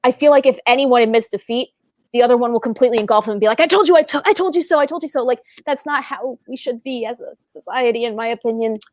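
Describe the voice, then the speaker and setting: Woman, fairly high voice, dry close sound, giggly and animated. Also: quick pace, no echo. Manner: giggly, animated, laughs often. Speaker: panel guest. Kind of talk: casual panel discussion.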